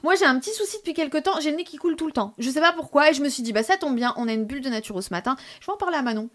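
Bubbly high-voiced radio host. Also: female voice, lively intonation, fairly bright tone. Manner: bubbly, enthusiastic, quick interjections. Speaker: radio morning show host. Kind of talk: upbeat radio chat.

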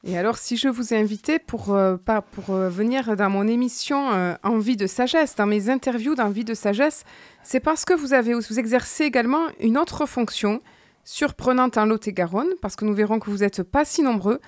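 A woman's voice: a little high, moderate pace, dry recording. A woman hosting a local radio interview show, asking questions in a friendly, conversational way. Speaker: radio host.

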